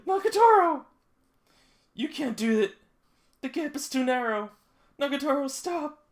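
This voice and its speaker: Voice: high voice, animated, measured pace, voice pushed up. A reader voicing a timid, awkward teenage boy who stammers and apologizes, in a comic read-along. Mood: worried.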